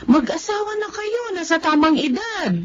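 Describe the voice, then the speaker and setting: An actress playing a blunt, incredulous female friend in a radio drama, speaking in an animated way. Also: very high-pitched, moderate pace. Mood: incredulous, scolding. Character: outspoken, incredulous female friend of a young wife. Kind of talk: Filipino radio drama acting. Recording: dull, noisy radio drama recording.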